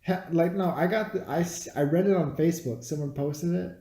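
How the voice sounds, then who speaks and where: A man's voice: a little high, a little fast, mellow. A man who has had some beers, chatting casually as a co-host reviewing a film, with slangy talk and free swearing. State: has been drinking beer. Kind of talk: casual chat. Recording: echoey, roomy sound.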